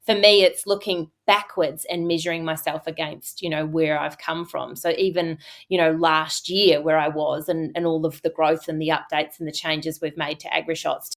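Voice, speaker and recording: A woman with a Kiwi accent and a notably low, deep voice talks as a guest in a studio interview. She chats freely and keeps circling back with ums, restarts and you-knows. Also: fairly even intonation, moderate pace. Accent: New Zealand. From New Zealand. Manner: chatty, frequent fillers and restarts. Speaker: podcast guest, agricultural photographer. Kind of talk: casual interview talk.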